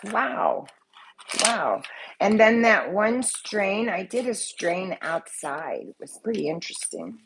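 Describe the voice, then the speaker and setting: A woman's voice: mid-range voice, sing-song, takes it slowly, noisy background. A woman with a slight cold chatting casually at home, rambling and swearing freely. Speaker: vlogger, soap maker. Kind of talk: casual chat to camera. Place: at home, living room in view.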